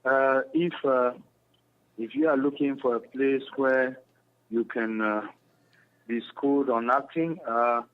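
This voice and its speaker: A man with a Cameroonian accent answering interview questions in a halting, repetitive, earnest explaining way. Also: medium pitch, clean tone. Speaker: interview guest, stage actor. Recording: muffled lo-fi radio phone call.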